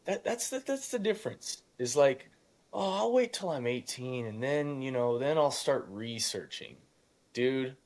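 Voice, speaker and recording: Emphatic young man, youthful and informal. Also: medium pitch. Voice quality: youthful voice that still cracks at times. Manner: emphatic, informal, acts out imagined dialogue. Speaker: podcast guest, young real estate investor. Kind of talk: casual conversational motivational storytelling.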